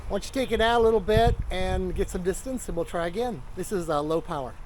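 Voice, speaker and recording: A man in his fifties giving a casual hands-on gadget review, plain-spoken and thinking out loud as he goes. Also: high-pitched, quick pace. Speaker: product reviewer, vlogger. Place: living room and outdoors in a backyard by a canal. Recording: clear background noise, ambient sound.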